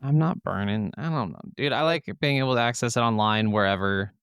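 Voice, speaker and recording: A man's voice: lowish voice, a little fast, a bit bright, clean tone, no echo, clean studio sound. A man co-hosting a podcast, chatting casually and informally about video games. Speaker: podcast co-host.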